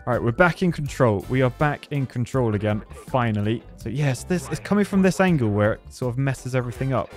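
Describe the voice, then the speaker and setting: A man's voice: mid-range voice, lively intonation, a little fast, few pauses, soft background music. A British man giving casual, jokey commentary as he plays a game, chatting and thinking aloud as host of the video. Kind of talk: casual live gameplay commentary.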